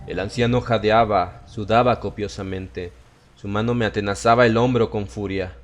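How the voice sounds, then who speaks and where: A male audiobook narrator with a fairly low-pitched voice. He reads scripted horror prose in a dramatic, literary storytelling style. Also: fairly even intonation, moderate pace, highs cut off, soft background music.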